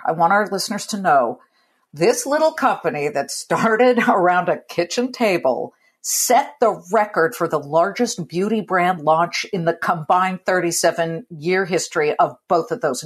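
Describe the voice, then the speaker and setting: A woman host from California running a business interview, chatty and quick with her asides. Her voice is slightly low and a little husky. Her pitch moves about a lot as she talks. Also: animated.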